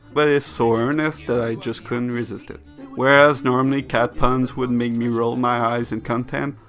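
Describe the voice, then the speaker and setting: A man's voice: medium pitch, creaky. Man narrating a review over music. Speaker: narrator reading a video essay. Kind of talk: scripted narration, game review essay. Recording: music bed behind the voice.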